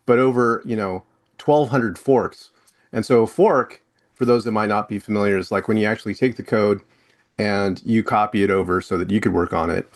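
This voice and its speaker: A male podcast host with a fairly low voice talks in a relaxed, conversational way. He recaps what his guest said and then steers toward his next question. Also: normal speed. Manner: conversational, sums up and leads into questions. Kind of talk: casual interview talk.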